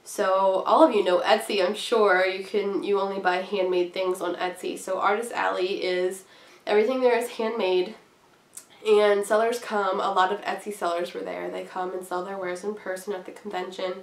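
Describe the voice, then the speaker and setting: A young woman in her twenties with a voice hoarse from a cold, chatting casually and rambling to camera with many ums. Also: mid-range voice, little pitch movement, normal speed. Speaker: craft vlogger. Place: small room indoors at home. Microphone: camera at a distance. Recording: roomy, from a distance, faint hiss.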